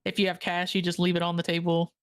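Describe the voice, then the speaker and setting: A young man co-hosting a stream, talking in a dry, low-key, deadpan way. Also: fast, clean tone. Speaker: co-host guest. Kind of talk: casual stream chat.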